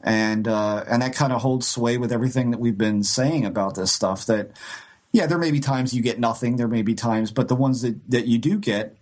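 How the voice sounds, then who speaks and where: A man's voice: medium pitch, normal speed, a little mellow. A man co-hosting a podcast, telling a ghost-hunting story in a rambling, casual way with frequent restarts and light laughs. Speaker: co-host.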